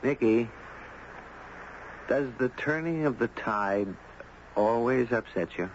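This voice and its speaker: A man playing a courteous, curious storyteller, gentle, thoughtful and well-spoken, in a muffled, noisy 1970s radio drama. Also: medium pitch, slow pace. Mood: friendly, inquiring. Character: courteous, curious man, narrating his own strange tale.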